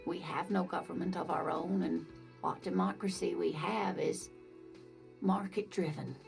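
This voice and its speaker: A woman voicing a kindly, scatterbrained older married woman in a video game, gentle and doting, with music behind her. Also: on the low side, steady tone, unhurried, gravelly. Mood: concerned, regretful. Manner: gentle, doting, hesitant, calls listener dear. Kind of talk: video game dialogue acting.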